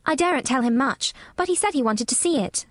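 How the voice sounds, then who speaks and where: An eager young English girl from a storybook, as a woman reader puts her on. Her voice is high and sits in a dry, echo-free recording. She speaks properly and very earnestly. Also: lively intonation, a little fast. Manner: proper, earnest child's diction.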